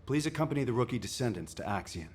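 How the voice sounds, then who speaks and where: An actor playing a composed, authoritative male military commander giving formal, measured briefings in a video game. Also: medium pitch, sing-song, slightly rough. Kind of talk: video game cutscene acting.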